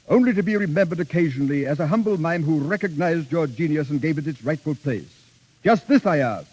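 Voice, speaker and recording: An actor playing a florid, amorous veteran stage actor, declaiming theatrically in 1930s radio drama style. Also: male voice, a little high, animated, fairly quick, a little mellow, rough recording. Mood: amorous, grandiose. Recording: old 1930s radio recording.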